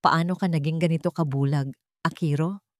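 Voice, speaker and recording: Woman voicing a soft, coldly resolved man. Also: sits low, steady tone, moderate pace, no echo, clean studio sound, close to an everyday voice. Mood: bitter, self-reproachful. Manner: soft and sweet with hidden coldness. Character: betrayed billionaire man, tender surface hiding cold resolve.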